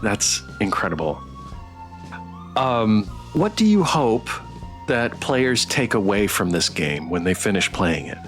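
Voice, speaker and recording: A man hosting a casual livestream interview, asking questions in a halting, appreciative way. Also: fairly low pitch, big pitch swings, soft background music. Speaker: interviewer and stream host.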